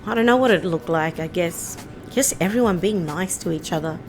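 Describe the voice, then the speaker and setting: Low-voiced woman telling a personal story. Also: a little fast, faint music behind, rough recording. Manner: reflective, firm, conversational. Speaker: interviewee.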